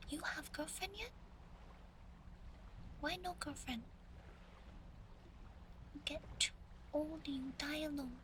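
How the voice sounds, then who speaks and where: A woman voicing a strict, nagging Chinese mother who scolds in soft, clipped broken-English questions. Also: high-pitched, takes it slowly, pauses often. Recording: clearly audible ambient background noise.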